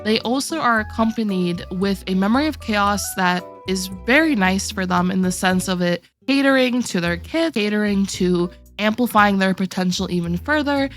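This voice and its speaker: A woman with a sore throat hosting a gaming video, calmly walking viewers through a character tier list. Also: medium pitch, normal speed, few pauses, faint music behind. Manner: steady explanatory walkthrough, addressing viewers directly. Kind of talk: game tier list commentary.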